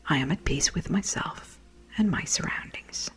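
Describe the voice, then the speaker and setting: A woman with a breathy, whispery voice gently and soothingly guides a meditation in a measured way. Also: low-pitched. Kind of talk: slow guided meditation narration. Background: music.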